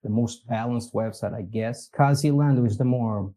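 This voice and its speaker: A man's voice: mid-range voice, moderate pace, dark tone. A man with a Portuguese accent, speaking as a guest in a casual interview, easygoing and meandering, chuckling at himself now and then. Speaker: podcast guest, company executive.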